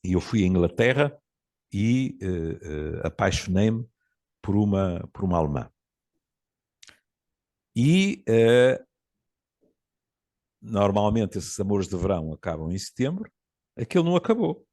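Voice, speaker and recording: A man in his seventies with a European Portuguese accent, telling stories in a relaxed conversation, reflective and pausing often. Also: fairly low pitch. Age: 70s. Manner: storytelling, reflective, with frequent pauses and fillers. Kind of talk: casual conversation.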